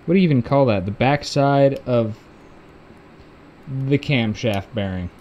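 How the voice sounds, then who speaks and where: Man, garage co-host, relaxed joking, mid pitch, faint room noise. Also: unhurried. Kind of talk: casual workshop chat. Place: garage workshop.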